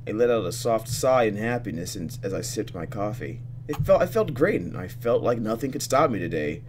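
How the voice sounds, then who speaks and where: Animated man playing a game. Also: on the low side, brisk, background noise. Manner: expressive, sarcastic asides between read lines. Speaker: let's player, channel host. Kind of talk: gameplay commentary, reading visual novel text aloud.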